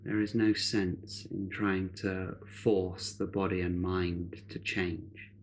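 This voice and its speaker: A man leading a guided meditation in a measured, unhurried way, leaving long pauses between phrases. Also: deep voice, flat delivery, a little hiss or hum.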